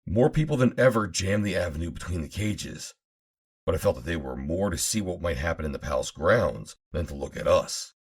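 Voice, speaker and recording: Deep, husky male audiobook narrator. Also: animated, a little fast. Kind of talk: dramatic scripted narration of an old adventure novel.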